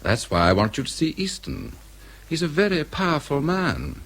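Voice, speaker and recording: An actor playing a worldly, cynical civil service schemer in a radio drama, with a British accent and an insinuating, offhand manner. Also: male voice, on the high side, wildly swooping pitch, normal speed, fairly bright tone, heavily acted. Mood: confiding.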